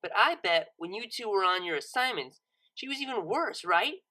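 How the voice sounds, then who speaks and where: Pony story role: woman as cocky young unicorn mare, slangy, easily flustered, forceful bright voice, lively swings. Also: medium pitch, normal speed. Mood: prodding. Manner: slangy, stammering, defensive.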